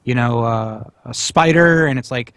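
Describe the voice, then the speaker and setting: A man's voice: medium pitch, normal speed, a bit bright, no room sound. A North American man in casual podcast discussion, talking in a rambling way and repeating phrases. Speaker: podcast co-host. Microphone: close mic on an internet voice call.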